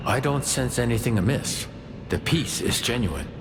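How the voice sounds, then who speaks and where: An actor voicing a calm spirit guide in a video game, with a measured, formal delivery. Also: male voice, mid-range voice, creaky. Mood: calm, watchful. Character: calm male spirit guide of a magic gauntlet. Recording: long reverb, loud music behind.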